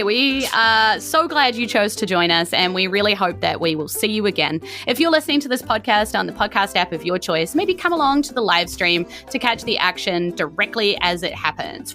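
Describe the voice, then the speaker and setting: A woman, animated and playful as game master and host of a tabletop podcast. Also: on the high side. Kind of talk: narrating a tabletop game and chatty podcast outro. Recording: music behind the voice.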